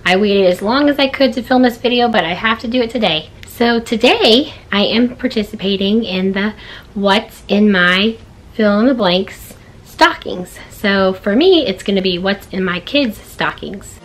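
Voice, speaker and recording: A woman in her thirties with a hoarse voice, chatting casually to camera about her kids' stocking gifts in a log-home bedroom. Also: mid-range voice, a little slow, low-quality recording. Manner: chatty, upbeat, showing items. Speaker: vlogger.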